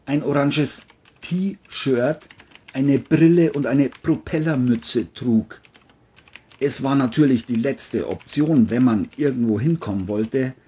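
A man's voice: medium pitch, dark tone, slightly rough. A man reading a scripted crime thriller as narrator.

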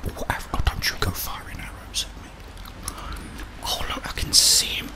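A man in his thirties whispering soft, unhurried ASMR game commentary into a close microphone. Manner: soft, unhurried, murmuring to viewers. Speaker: ASMR gaming host. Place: small indoor room. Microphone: close desk microphone, headphones worn.

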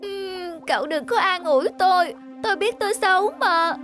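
A woman's voice: extremely high pitch, moderate pace, fairly bright tone. A woman voicing a tearful, self-pitying cartoon shrimp. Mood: sad. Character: timid, self-conscious female river shrimp. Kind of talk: cartoon voice acting. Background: music.

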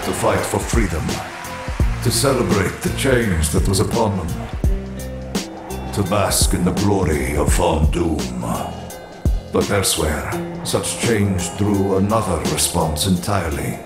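An actor playing a cold, imperious armored warlord in a dramatic comic adaptation, speaking in a grandiose, commanding, deliberate way. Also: male voice, low voice. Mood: brooding. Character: cold, imperious armored tyrant warlord narrating his conquest. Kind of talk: dramatic comic narration and dialogue. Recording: echoing voice under loud music.

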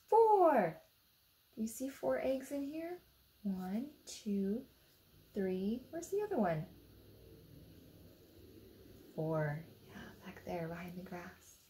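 American lady doing kids storytime, super expressive swoopy pitch, slow n soft mellow tone, asks little questions. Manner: expressive, simple questions addressed to young listeners. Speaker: storytime reader for children. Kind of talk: animated children's picture book read-aloud with asides. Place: indoor room beside a book display.

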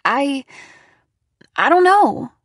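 A woman acts out an upset teenage girl in an audiobook drama. Her voice sits very high and sounds anxious and defensive, pleading to be believed. The sound is close and dry. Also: very animated, very fast, no room sound. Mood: flustered, stammering. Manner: stammering, shrill when upset.

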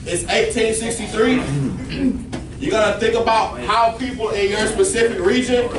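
A man's voice: sits high, steady tone, moderate pace. A male teacher giving directions to a class, often checking in with "all right?". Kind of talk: classroom instruction. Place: large classroom with students. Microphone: far camera at back of room. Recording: echoey classroom from far off, noisy.

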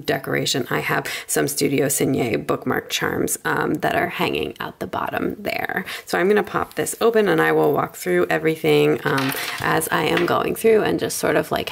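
A woman chatting informally through a casual craft flip-through in a rambling way, slightly hoarse from a cold. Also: sits low. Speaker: craft video creator.

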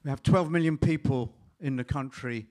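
A man in the audience making a measured, earnest comment to the panel. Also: medium pitch, a little mellow. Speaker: audience member. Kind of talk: comment from the floor.

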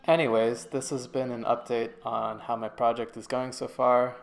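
A young adult man narrates a student project demonstration as a voice-over. His pitch sits in the middle for a man, and his phrasing is informal and a little tentative. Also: flat delivery, normal speed, creaky, soft background music. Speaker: student presenter. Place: voice-over to slides.

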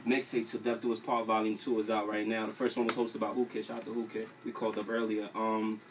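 A man's voice: on the low side, level tone, fast, far from the mic. A young man with a New York accent chatting casually and slangily as a guest in an interview. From New York City, US. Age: young adult. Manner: slangy, informal. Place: small radio studio. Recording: off-mic with faint noise.

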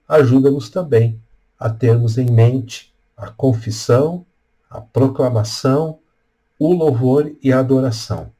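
An older Brazilian man speaks as a pastor giving a devotional. His medium-pitched voice has a husky grain. He moves slowly and deliberately, pausing between short phrases. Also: mellow. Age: older, around 60s. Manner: measured, deliberate phrasing with pauses. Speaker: Lutheran pastor. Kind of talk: devotional talk with scripture reading and prayer. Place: small room at home or office, indoors. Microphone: webcam at desk distance.

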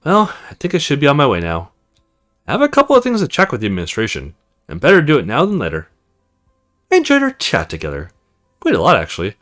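A man's voice: mid-range voice, wildly swooping pitch, quick pace, long pauses, fairly bright tone, faint music behind. A man voicing a frank, chatty young female agent in a visual novel, with a teasing, conversational manner. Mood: friendly.

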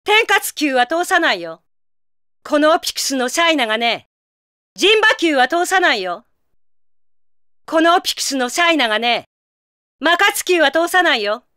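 A high, bright female warrior voice from an anime game. She is proud and commanding, with tough, rough-spoken delivery that swings widely in pitch. Beneath the fierceness lies a secret tenderness. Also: no echo, studio quality, full character voice. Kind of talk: Japanese anime game voice acting.

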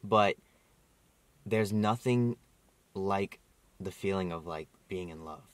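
A man's voice: sits low, dry recording. A young man in his early twenties, joking and chatting casually with his fiancée for a vlog from inside a parked car, camera held at arm's length. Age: young adult. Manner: playful, joking, talks fast in bursts. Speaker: vlogger. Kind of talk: casual chat.

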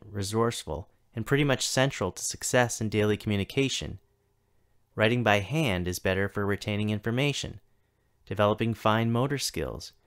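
A man in his thirties giving a scripted keynote as a school technology coordinator, reading at a measured, deliberate pace with pauses between items. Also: fairly low pitch, smooth. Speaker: keynote speaker, tech coordinator. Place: home or office, recorded for a virtual conference.

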